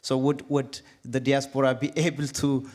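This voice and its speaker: A man from Ethiopia answering as a panelist, measured with repeated restarts. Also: mid-range voice, little pitch movement, darkish tone.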